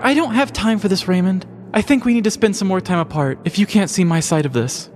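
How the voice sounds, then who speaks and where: A man's voice: high-pitched, a little fast, big-room sound, pitched above the performer's own voice, natural voice. A man voicing an infatuated, earnest young woman who gushes about her steamy novel in a comic story. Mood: firm.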